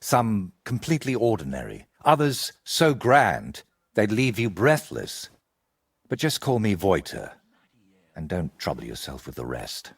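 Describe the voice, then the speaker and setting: A man playing an evasive, eccentric wandering painter in a medieval video game, with a husky, rough-edged voice and a theatrical, riddling way of talking. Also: fairly low pitch, huge pitch swings, unhurried, exaggerated voice. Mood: playful, cryptic. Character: evasive eccentric male wandering painter, wry and world-weary.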